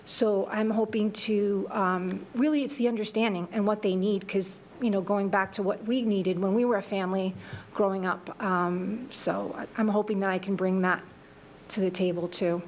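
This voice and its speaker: A woman's voice: medium pitch, fairly even intonation, moderate pace, a little mellow, a little hiss or hum. A woman whose first language is Greek, answering a school board committee in a meeting room with earnest, thoughtful speech full of fillers. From Greece. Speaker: assistant principal candidate.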